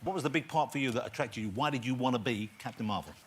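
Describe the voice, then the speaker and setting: A man's voice: mid-range voice, rapid-fire, slightly rough. A man hosting a talk show, teasing his guests and asking them questions. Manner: teasing, joking. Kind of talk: casual chat.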